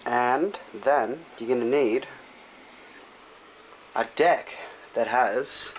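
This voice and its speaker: A man talks through a how-to in an informal, offhand style, with a mid-pitched voice. The recording is homemade, with noticeable noise and clicks behind him. His delivery is loose and chatty, as a tutorial presenter. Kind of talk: casual hands-on tutorial chat. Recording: noisy home recording with clicks.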